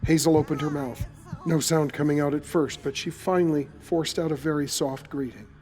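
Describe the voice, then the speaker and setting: A man delivers scripted narration for a horror audio drama. His voice is on the higher side, and he tells the story in a dramatic, suspenseful way. Quiet music sits underneath. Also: steady tone, moderate pace.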